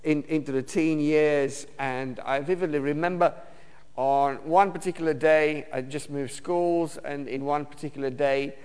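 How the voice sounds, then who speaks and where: A man from Romania, preaching a personal testimony sermon in a halting way, with many fillers and restarts. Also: fairly high pitch, steady tone, darkish tone, clear, resonant tone. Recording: long reverb of a hall.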